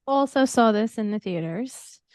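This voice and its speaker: A woman's voice: a little high, animated, unhurried, darkish tone, clear, resonant tone, no echo. A woman in her forties, a guest on a film podcast, talking frankly and enthusiastically, with casual swearing.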